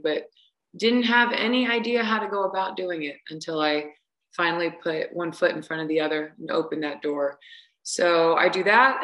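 A woman's voice: on the low side, a bit bright, poor audio. A young adult woman, a singer guest, chatting hesitantly with many restarts over a video call. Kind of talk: casual interview chat. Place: large room at home on video call.

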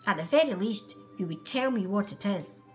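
Woman voicing a bossy, lively little sparrow. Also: mid-range voice, moderate pace, faint music behind, pitched below the performer's own voice, natural voice. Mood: indignant. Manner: indignant squawking and demanding. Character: bossy, hot-tempered little female sparrow.

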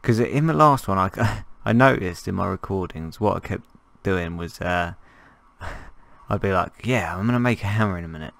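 Croaky low-voiced man with a cold. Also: lively intonation, moderate pace, a bit bright, dry recording. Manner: rambling, jokey, thinking aloud. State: hoarse from a cold. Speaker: gameplay commentator. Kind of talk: casual gameplay commentary.

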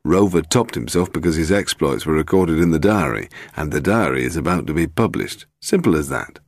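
A man's voice: extremely low pitch, animated, brisk, gravelly, no room sound, exaggerated voice. A man voicing a blunt, sardonic British detective in an audiobook, with a dry, commanding manner. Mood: dry, dismissive. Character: blunt, sardonic British male police detective, coolly authoritative.

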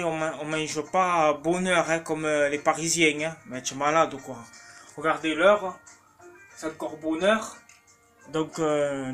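Man, 30s, Marseille accent, slightly high voice, rambling home-kitchen vlogger on an arm's-length phone. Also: a bit bright. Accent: Marseille French. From Marseille, France. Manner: rambling, chatty, many fillers and restarts. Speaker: cooking vlogger. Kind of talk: casual chat to camera. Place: small home kitchen, indoors. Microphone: phone camera held at arm's length.

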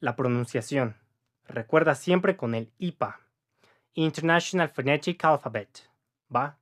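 A man's voice: on the high side, measured pace, fairly bright tone. A young man in his twenties with a Mexican accent, an English teacher giving a casual video lesson to camera, chatty and upbeat, often checking in with the viewer by tagging his sentences with little questions. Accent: Mexican Spanish. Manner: chatty, upbeat, frequent "¿va?" and "¿vale?" tag questions. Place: indoor room with a patterned wall.

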